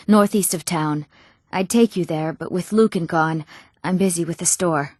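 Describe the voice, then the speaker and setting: An actress playing an eager, earnest young townswoman in a fantasy video game, with clear, expressive scripted delivery. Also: on the low side, dry recording, clean studio sound, close to an everyday voice. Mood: earnest, polite. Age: young adult.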